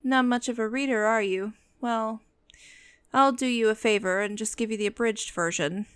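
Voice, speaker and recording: A woman's voice: on the high side, moderate pace, clear, resonant tone, no room sound, natural voice. A woman playing a bossy, protective immortal vampire in an ASMR roleplay, speaking with dry, commanding condescension. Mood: bossy, condescending. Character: bossy, protective female vampire, immortal, businesslike and dryly menacing. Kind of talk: scripted ASMR roleplay audio, addressing the listener.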